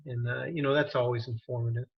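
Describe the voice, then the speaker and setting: Husky man giving halting feedback. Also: medium pitch, steady tone. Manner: hesitant, halting with fillers. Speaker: meeting participant. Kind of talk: casual feedback. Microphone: video call. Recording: dull lo-fi call audio.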